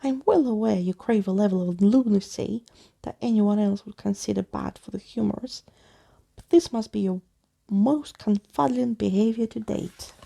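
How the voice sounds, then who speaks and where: Woman voicing a pompous, gravelly old storybook skeleton man. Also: mid-range voice, moderate pace, dark tone. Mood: exasperated. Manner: florid, grandiose wording with muddled words. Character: pompous, wordy old male living skeleton, absent-minded and unflappable.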